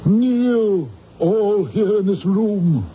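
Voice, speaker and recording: An actor playing a solemn, imperious druid priest in a radio drama, speaking in a declamatory way. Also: male voice, high-pitched, animated, extremely slow, full character voice. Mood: solemn, commanding. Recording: dull vintage radio recording, faint noise.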